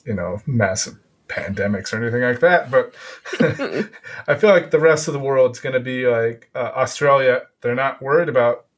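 Guy, guest; mid-pitched, chatty, keeps restarting himself. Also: normal speed. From United States. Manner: rambling, self-interrupting storyteller with repeated restarts. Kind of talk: casual chat.

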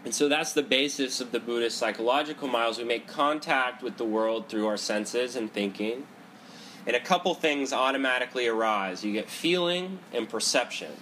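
A man of ordinary pitch, talking loudly through a head cold. He teaches a seated group about meditation in a relaxed, conversational way. He keeps checking in with "right?" and "you know.". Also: moderate pace, a little hiss or hum, rough recording. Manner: loud talker. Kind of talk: Buddhist psychology talk to a group. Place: indoor room with a group, seated cross-legged.

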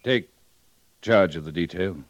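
An actor in a 1950s western radio drama playing a steady, plain-spoken, humane cavalry captain. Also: male voice, sits low, unhurried, no echo, dull audio. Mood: firm.

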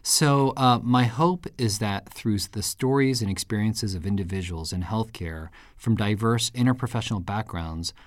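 A man's voice: on the low side, no echo, clean studio sound. A man born in Japan hosting a podcast, reading a scripted introduction and then asking questions with a few hesitations. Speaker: podcast host. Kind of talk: podcast interview.

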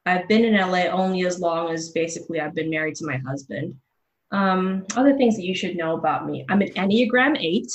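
Woman in her 30s, low-ish voice, blunt and straight to the point. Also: a little fast, highs cut off, slight background noise. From Philippines, raised in New Zealand. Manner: direct and matter-of-fact. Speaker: guest, journalist. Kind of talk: casual interview. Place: remote call.

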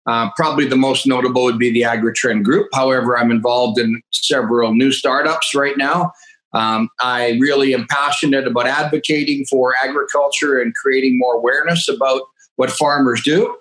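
A man's voice: mid-range voice, fairly even intonation, moderate pace, a bit bright, poor audio. A man in his fifties with a Canadian accent, explaining things conversationally as a podcast guest. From northeastern Alberta, Canada. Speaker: podcast guest, agriculture entrepreneur.

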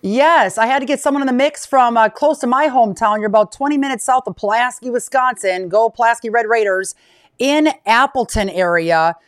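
A woman's voice: fairly high pitch, a little fast, no echo, studio quality. A woman hosting an interview podcast, chatty and upbeat as she introduces and questions her guest. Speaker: podcast host.

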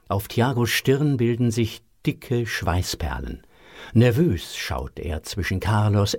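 A man narrating a scripted children's audio drama in a measured, suspenseful way. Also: on the low side, a little mellow.

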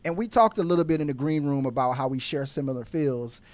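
A man's voice: mid-range voice, quick pace, darkish tone. A man hosting a podcast, chatting casually and animatedly with slang and frequent laughs. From Houston area resident, now Miami. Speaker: podcast host. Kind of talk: casual chat.

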